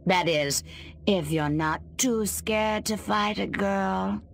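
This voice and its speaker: A woman's voice: medium pitch, takes it slowly, vocal fry, slight background noise. A woman playing a scornful, patriotic government agent villain in a video game, theatrical, taunting and sarcastic.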